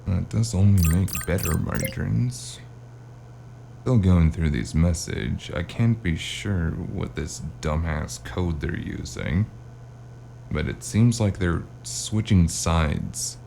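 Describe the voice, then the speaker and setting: A man plays a hard-boiled noir detective with an extremely deep, gravel-edged voice and a dark tone. He tells his story with wry weariness, as in an audio drama, over a faint hum. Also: slow, exaggerated voice. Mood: musing, wry. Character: hard-boiled male cyberpunk noir investigator with magic, wry and weary. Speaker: narrator and lead character.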